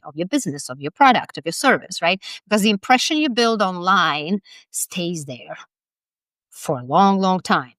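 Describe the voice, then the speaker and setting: A woman's voice: mid-range voice, a bit bright, dry recording, studio quality. A woman in her forties from Lithuania with a Lithuanian accent, a branding expert giving direct, emphatic advice in an interview and laughing between points. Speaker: personal branding expert guest.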